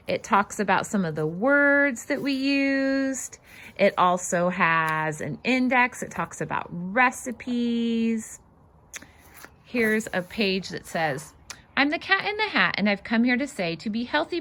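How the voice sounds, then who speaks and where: A woman reading a children's storybook aloud in an expressive, sing-song way, with casual asides to her young listeners. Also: a little high. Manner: animated, sing-song rhyming delivery. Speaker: children's read-aloud host and fitness instructor.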